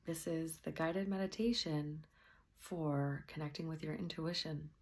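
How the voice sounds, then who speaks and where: A woman softly and gently leading a guided meditation, at times slipping into a breathy near-whisper. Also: low voice, steady tone, a little slow.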